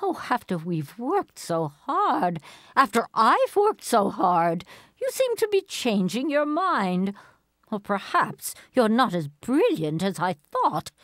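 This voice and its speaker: A woman's voice: on the high side, sing-song, takes it slowly, fairly bright tone, no echo, studio quality, full character voice. An actress playing a lonely older woman in an audio play, dreamy, proud and easily wounded. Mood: wounded, doubting.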